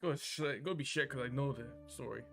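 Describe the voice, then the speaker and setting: A man's voice: medium pitch, a little fast, vocal fry, music in the background. A man chatting casually on a livestream as he plays a game and talks to viewers. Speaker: game streamer. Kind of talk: casual livestream chat while playing.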